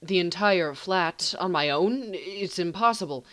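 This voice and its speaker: A woman's voice: fairly low pitch, a little slow, fairly bright tone, no echo. Woman voicing a curt, tense soldier. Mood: strained. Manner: curt and strained. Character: tense, blunt male soldier, adult. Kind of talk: storybook reading.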